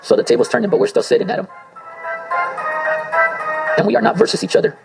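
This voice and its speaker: A man's voice: sits high, very animated, fast, vocal fry, low-quality recording. A man whose first language is Spanish, freestyling an abstract spoken word monologue, rambling and talking to himself. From Spanish-speaking home. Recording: faint music behind voice. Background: faint circus music.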